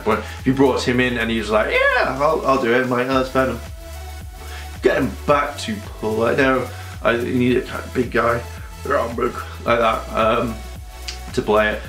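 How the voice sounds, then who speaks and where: Congested man ranting to camera. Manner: animated, rambling, with sudden high-pitched outbursts. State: congested from a cold. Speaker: vlogger. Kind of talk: casual opinion vlog to camera. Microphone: camera on a tripod. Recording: echoey room with faint music.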